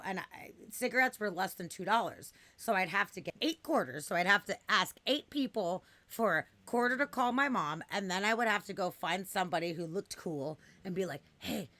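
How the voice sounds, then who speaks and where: A raspy-voiced woman from the US West Coast in her thirties, a podcast guest chatting casually and bluntly, swearing freely as she tells stories. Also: mid-range voice, a bit bright. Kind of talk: casual chat.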